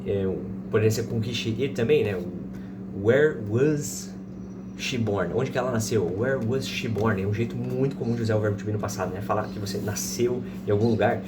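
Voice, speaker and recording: A man's voice: fairly low pitch, moderate pace. Brazilian male teacher, very noisy distant recording. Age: 30s. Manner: didactic, repeats examples, checks in with listeners. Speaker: English teacher. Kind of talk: informal explanatory language lesson with slow example sentences. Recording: distant mic, loud background noise.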